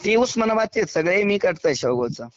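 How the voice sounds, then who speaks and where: Maharashtrian accent guy on a muffled phone call, bit high pitched, casual short answers. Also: lively intonation, quick pace, no room sound. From Maharashtra, India.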